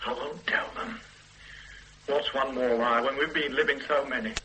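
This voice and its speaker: A man's voice: mid-range voice, fairly even intonation, normal speed, pushed and bright, a little hiss or hum, natural voice, rough recording. An actor in a radio play voicing a tired, ordinary older man, a husband and grandfather, who talks in a plain, weary, pleading way. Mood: strained.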